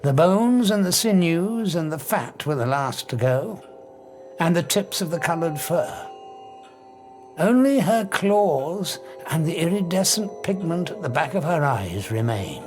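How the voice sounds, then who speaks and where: Obsessive, swooping mad scientist. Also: male voice, on the high side, very animated, a little slow, darkish tone, faint music behind. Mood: coolly reminiscing. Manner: theatrical, savouring storyteller. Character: obsessive scientist, invisible man, darkly exultant and cold. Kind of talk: audio drama monologue.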